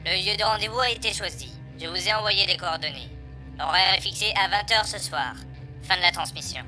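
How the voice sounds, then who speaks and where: A woman's voice: mid-range voice, brisk, pushed and bright, soft background music, close to an everyday voice. A woman playing an impersonal go-between who relays formal, clipped orders in an audio drama.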